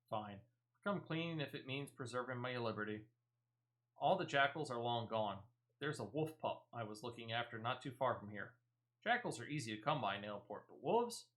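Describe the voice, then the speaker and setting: A shifty smuggler woman from a fantasy game, acted out by a man reading her lines. The voice is bright and pitched a little up, with rustic, clipped words. She sounds evasive and wheedling, forever pleading her own case. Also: natural voice. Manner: rustic dropped-letter speech, pleading and evasive. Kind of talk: video game dialogue read aloud.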